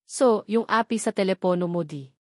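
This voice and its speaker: A man's voice: high-pitched, normal speed, no room sound, clean studio sound, natural voice. Romantic audio drama husband, played by a female reader: earnest, tender, full of feeling, devoted. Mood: hurt, stunned.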